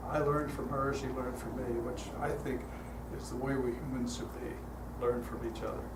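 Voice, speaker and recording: An older man speaking as a guest at a church, telling stories in a relaxed, chatty way with frequent fillers, his voice calm. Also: mid-range voice, moderate pace. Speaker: guest speaker leading a guided meditation. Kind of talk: storytelling talk to a congregation. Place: church hall. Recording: echoey hall, heard from a distance, noisy.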